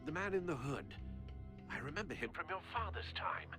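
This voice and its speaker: Cautious older aide, music behind. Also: male voice, high voice, expressive, a little fast. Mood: wary. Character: loyal, cautious older male aide on an earpiece. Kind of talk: video game acting.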